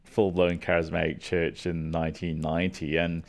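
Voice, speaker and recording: A man's voice: low-pitched, level tone, normal speed, vocal fry. A middle-aged man, a worship leader, co-hosting a podcast in easygoing, self-deprecating chat. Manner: self-deprecating, laughs often. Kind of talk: casual chat.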